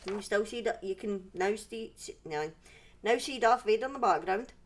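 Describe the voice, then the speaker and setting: A woman's voice: mid-range voice, moderate pace, slight background noise. A woman with a Scottish accent hosting a livestream in a casual, rambling way, often breaking off and restarting her sentences. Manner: rambling, self-interrupting, conversational. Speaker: livestream host and storyteller. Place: indoors at home.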